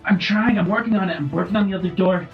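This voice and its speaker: A man's voice: sits high, fast, narrow, tinny audio, pitched above the performer's own voice. A man voicing a flustered, straining scientist in a tabletop game, with music behind. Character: flustered, straining scientist working on a jammed door.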